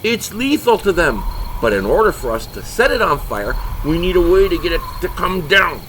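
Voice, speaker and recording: Man acting a sharp, high-voiced irritable monster hunter. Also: very animated, normal speed, a little hiss or hum, low-quality recording. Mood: irritated, skeptical. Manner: exasperated, scolding. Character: irritable, foul-mouthed monster hunter, exasperated know-it-all.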